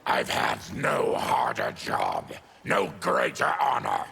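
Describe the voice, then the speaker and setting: Fierce gravelly monster warrior. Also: male voice, extremely low pitch, huge pitch swings, slow pace, slight background noise, heavily acted. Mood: grave, proud. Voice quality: gravelly, growling. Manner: grave, formal, forceful. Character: fierce, embittered male monster warrior, proud former army tribune. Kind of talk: video game character dialogue.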